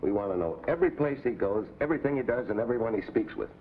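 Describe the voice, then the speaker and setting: An actor as a terse American agent, tinny recording. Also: male voice, mid-range voice, animated, racing, natural voice. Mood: determined. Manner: terse, hard-boiled. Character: confident, scheming American secret agent. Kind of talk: 1950s TV adventure drama acting. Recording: tinny, noisy old TV soundtrack.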